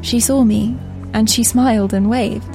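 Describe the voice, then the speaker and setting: A woman's voice: fairly high pitch, fairly even intonation, unhurried, vocal fry, low-quality recording. A woman playing an earnest, reflective young shop assistant who narrates her story in a confiding way in an audio drama. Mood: wistful wonder. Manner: confiding, introspective. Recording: music under the voice.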